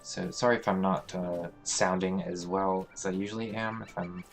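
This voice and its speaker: A man hosting a let's play, talking casually through a game with a hoarse, congested voice and many fillers. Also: sits low, music in the background. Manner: relaxed, halting, thinking aloud with many fillers. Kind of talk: casual gameplay commentary.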